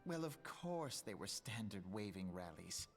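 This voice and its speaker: A man's voice: fairly low pitch, big pitch swings, a little slow, soft background music. An actor playing a poised, self-assured young nobleman in a fantasy game, speaking in a formal, lofty, rhetorical way. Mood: smug, measured. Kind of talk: scripted fantasy game dialogue.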